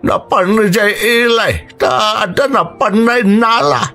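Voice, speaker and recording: A man's voice: high voice, slow, bright, forceful tone, heavily acted. A man playing a reproachful old father in a radio drama, with an Ilocano accent. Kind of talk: Filipino radio drama acting. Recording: music bed under dialogue. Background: music.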